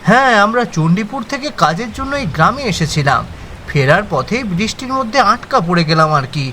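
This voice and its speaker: Man as an anxious young townsman, hesitant, high pitch, lively intonation. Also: fairly quick, a little hiss or hum, exaggerated voice. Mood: plain. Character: nervous young man from town. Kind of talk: animated horror story acting.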